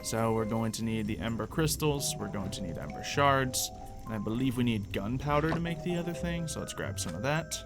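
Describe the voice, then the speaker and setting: A man's voice: mid-range voice, expressive, fairly quick, vocal fry. Chatty man over music, casual gameplay commentary. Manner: chatty, thinks aloud. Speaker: video game let's-player.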